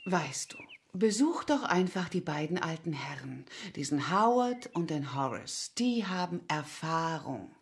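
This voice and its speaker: A woman's voice: low voice, big pitch swings, a little slow, husky, exaggerated voice. An actress playing a blunt, bossy, matter-of-fact woman who scolds and advises in a radio play. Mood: critical, bossy. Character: blunt, bossy woman critiquing a crime story. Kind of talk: German radio play acting.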